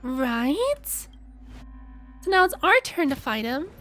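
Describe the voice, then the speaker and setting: Excitable, very high-pitched woman streamer. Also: drawn-out words, noisy background. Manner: playful, excitable, giggly. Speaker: streamer reacting to a game. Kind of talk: casual live gaming commentary. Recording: game music faintly behind the voice. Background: faint game music.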